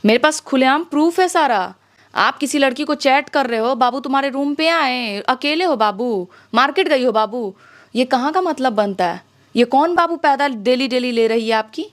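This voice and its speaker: A woman's voice: sits high, expressive, moderate pace. A young woman with a Bihari accent speaking as an interview guest, emphatic and insistent, often throwing out rhetorical questions. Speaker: interview guest, music-video actress. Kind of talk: spoken interview, airing grievances.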